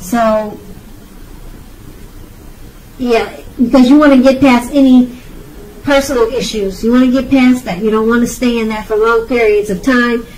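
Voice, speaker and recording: A woman's voice: a little high, steady tone, a little slow, long pauses, a little mellow, slight background noise. A woman giving an unscripted tarot reading, speaking to the viewer in a reassuring, advising way with hesitations and repeated phrases. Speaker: tarot card reader. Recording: voice distant from the mic.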